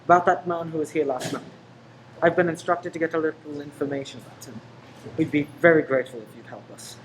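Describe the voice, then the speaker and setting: A man playing a polite, probing investigator in a radio play, speaking in a measured, official manner. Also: fairly high pitch, background noise, close to an everyday voice.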